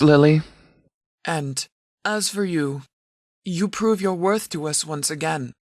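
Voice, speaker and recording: A stern wasteland militia sheriff, voiced by a man for a video game, with a slightly high male voice recorded close and dry. He speaks formally and with composed authority, handing out clipped orders in measured sentences. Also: a bit bright, no room sound, natural voice. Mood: stern, businesslike, then formal, approving. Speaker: game voice actor playing a sheriff. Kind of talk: scripted video game dialogue.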